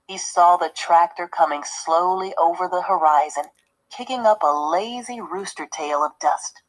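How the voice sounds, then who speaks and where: Slow-paced woman teacher reading aloud. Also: on the low side, rough recording. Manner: measured, explanatory, pausing to question listeners. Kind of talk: read-aloud lesson with commentary and questions.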